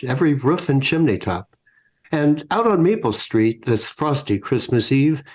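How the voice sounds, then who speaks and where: A man plays the narrator of an old radio comedy. His voice sits at a medium pitch, and he sets the scene in a folksy storytelling manner. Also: darkish tone, lightly acted. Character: folksy narrator of 1950s small-town Christmas radio comedy. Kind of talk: scripted radio drama narration.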